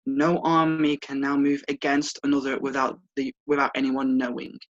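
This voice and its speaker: A performer reading the part of a wise old master calmly instructing a pupil in a story. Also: mid-range voice, moderate pace, lo-fi sound, close to an everyday voice. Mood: measured, instructive. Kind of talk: read-aloud story dialogue.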